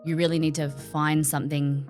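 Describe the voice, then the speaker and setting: Low-voiced Australian woman, chatty. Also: little pitch movement, moderate pace, a little mellow, soft background music. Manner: chatty, articulates words carefully. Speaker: cooking streamer guest. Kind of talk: casual interview chat.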